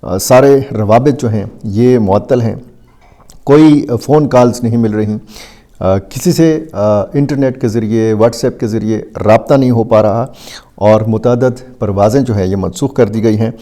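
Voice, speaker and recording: Mellow, halting Pakistani male news commentator. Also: lowish voice. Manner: halting, deliberate, with frequent drawn-out hesitation sounds. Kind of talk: unscripted news analysis, explaining to viewers.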